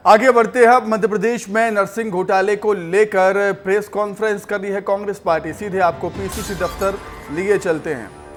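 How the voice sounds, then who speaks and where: Male news anchor, pretty high voice, punchy and repeats himself, light music under it. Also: fairly even intonation, normal speed. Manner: emphatic, repeats phrases. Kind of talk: live TV news presenting.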